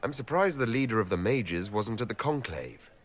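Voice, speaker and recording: A man's voice: on the low side, expressive, normal speed. A man playing a frank young elven hero in a video game, speaking in a direct, questioning way.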